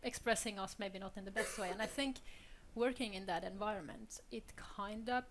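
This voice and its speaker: A woman's voice: medium pitch, normal speed, background noise. A young woman from Switzerland speaking candidly on a panel, laughing often between points. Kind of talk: panel discussion.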